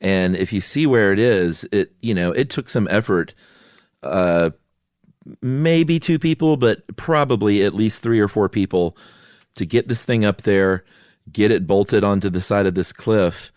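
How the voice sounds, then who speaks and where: Low-voiced man in relaxed podcast chat. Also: a little slow, dry recording, studio quality. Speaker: podcast co-host. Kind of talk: casual conversational explaining.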